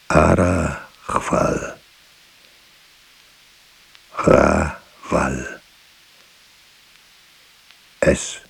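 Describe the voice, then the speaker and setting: An actor playing a grave, bitter witness mourning the dead in a poetic radio drama, solemn and rhetorical. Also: male voice, a bass voice, creaky, slightly rough, full character voice, poor audio. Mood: mournful.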